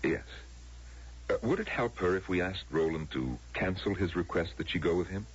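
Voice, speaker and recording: Deep very husky guy, nervous and deferential, careful, old radio play. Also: lively intonation, normal speed. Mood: defensive, worried. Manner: hesitant, careful. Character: uneasy, deferential man reporting to a female superior. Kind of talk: radio drama acting. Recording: muffled old radio broadcast.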